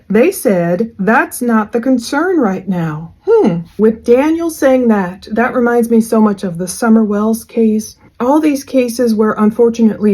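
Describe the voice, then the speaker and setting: A woman's voice: a little high, a little mellow. A woman hosting a true crime channel, talking through a case in a casual, earnest, rambling way.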